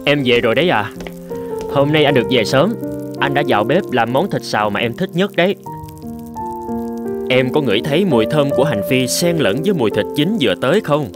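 A man voicing a mild, home-loving young husband in a cartoon drama, gently explaining himself. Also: mid-range voice, animated, fairly quick, over loud music, close to an everyday voice. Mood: affectionate.

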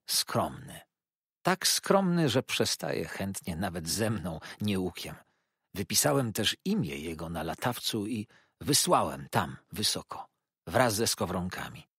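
A man voicing a kindly, simple-minded old eccentric who talks in an earnest, confiding and excited way. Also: on the low side, slightly rough, dry recording, clean studio sound, exaggerated voice.

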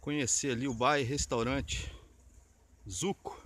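A man's voice: mid-range voice, fairly even intonation, measured pace, a bit bright. A man in his thirties with a Brazilian Portuguese accent, giving casual vlog commentary into a handheld camera outdoors. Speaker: cycling vlogger. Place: outdoors on rural mountain roads. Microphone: handheld camera at arm's length. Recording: clear outdoor noise, phone or camera mic.

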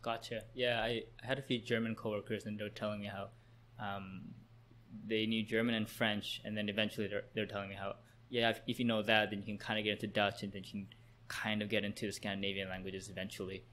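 A man's voice: on the low side, little pitch movement, fast talker, a bit bright. A man from Canada hosting a casual interview podcast, talking in a chatty way with many "like" fillers. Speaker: podcast host.

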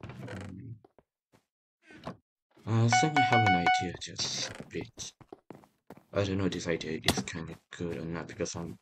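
A young man casually commenting on his own gameplay, excitable and talking to viewers, often repeating himself. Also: low voice, a little slow, long pauses, loud music behind the voice. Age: young adult. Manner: excitable, talks to viewers while playing, repeats phrases. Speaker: gaming channel host. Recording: loud background noise.

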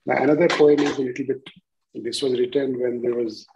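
Man in his sixties, Indian accent, mid-pitched voice, deliberate phrasing, reciting his poems. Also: fairly even intonation, lo-fi sound, noisy background. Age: 60s. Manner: deliberate, pausing between short phrases. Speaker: poet reading own work. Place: indoors at home on a video call. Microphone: video-call device microphone.

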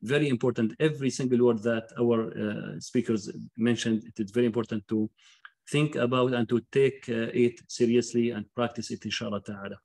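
Courteous man hosting, halting speech. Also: medium pitch, fairly even intonation, a little mellow. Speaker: Quran recitation teacher hosting a graduation. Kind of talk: informal ceremony speech. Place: home study, on a video call. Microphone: computer microphone on video call.

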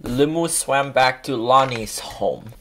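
A woman reading a children's picture book aloud as an expressive storyteller. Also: rumbling low, takes it slowly. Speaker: storybook reader.

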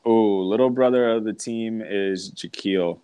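A man in his thirties, a pro soccer player guest, chatting on a video call from home in a relaxed, hesitant way with many ums. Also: fairly low pitch, unhurried, clean tone, dry recording. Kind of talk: casual video-call chat. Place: home room.

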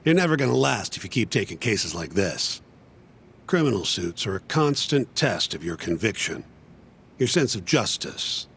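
A jaded middle-aged male lawyer from a game cutscene, blunt and lecturing. His lowish voice moves up and down a lot. It rings in an echoey, reverberant space. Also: very animated, moderate pace, gravelly, a bit bright, heavily acted. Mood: weary, chiding. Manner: blunt, world-weary, lecturing. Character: jaded, exasperated older male defense lawyer. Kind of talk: video game cutscene acting. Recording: echoey hall reverb, music behind.

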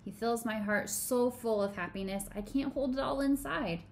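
Woman reading a children's story gently. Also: on the high side, normal speed. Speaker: children's sermon co-leader. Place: small home room.

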